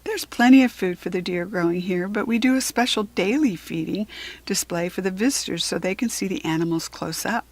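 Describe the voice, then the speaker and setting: A woman's voice: medium pitch, fairly quick, no echo, lightly acted. An American woman voicing a friendly female head ranger in a storybook, cheerful and explanatory. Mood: explaining.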